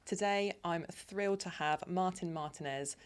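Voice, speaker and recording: Low-voiced woman hosting a podcast. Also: normal speed, clear, resonant tone. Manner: warm, encouraging interviewer with frequent affirmations. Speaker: podcast host. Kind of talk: podcast interview.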